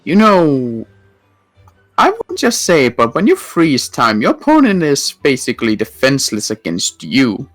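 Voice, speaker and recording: A man voicing an experienced male water mage who speaks in a formal, measured way. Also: medium pitch, wildly swooping pitch, fairly bright tone, no room sound, faint music behind. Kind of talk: roleplay chat.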